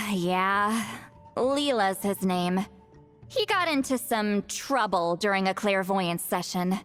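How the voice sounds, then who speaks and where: A woman's voice: medium pitch, animated, slow, long reverb tail, faint music behind. A woman voicing a bossy, young-sounding magical elder in a video game, haughty yet easily flustered, with a theatrical delivery. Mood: explaining, weary. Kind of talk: animated video game voice acting.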